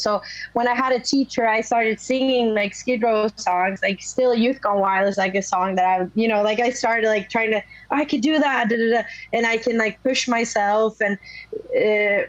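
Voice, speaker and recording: A young woman from Sweden with a naturally raspy voice, a rock singer chatting casually in an interview, rambling with many fillers. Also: fairly high pitch, moderate pace, no room sound, lo-fi sound. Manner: rambling, lots of "like" and "you know". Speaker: rock vocalist guest.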